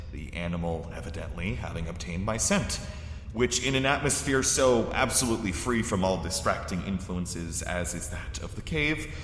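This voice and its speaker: A man's voice: sits low, a bit bright. A man acting a terrified lost explorer, narrating his ordeal in formal, theatrical gothic horror style. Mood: grave dread. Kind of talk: dramatic first-person gothic horror narration. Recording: echoey cavern-like reverb, faint noise.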